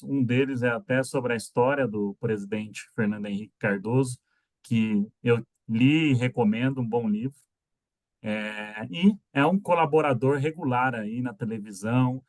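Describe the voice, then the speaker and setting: A man moderating an online panel, introducing the guests in a halting way with many filler pauses, on a video call. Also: medium pitch, no echo.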